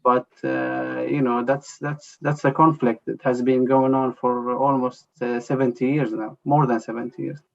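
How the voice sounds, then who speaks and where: A man answers interview questions in a gravelly, creaky voice. He talks haltingly, restarting often and leaning on "you know". The sound is dull and lo-fi. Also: mid-range voice, little pitch movement. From Jerusalem. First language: Arabic. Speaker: journalist guest.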